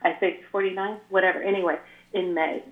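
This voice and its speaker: Older woman, low and scratchy, on a tinny echoey line. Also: fairly even intonation, a little fast. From California. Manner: earnest, flowing spiritual explanations. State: slightly scratchy after the flu. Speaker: spiritual guest. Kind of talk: casual chat.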